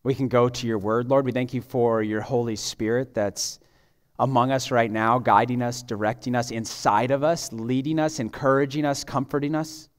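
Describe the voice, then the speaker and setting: A pastor in his thirties preaches from a large church stage, voice at an ordinary middle pitch. He starts in a hushed prayer and builds to urgent, emphatic storytelling. The sound carries a long reverb tail from the big hall. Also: fairly even intonation, moderate pace. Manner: prays softly, then preaches with rising, emphatic intensity. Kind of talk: sermon.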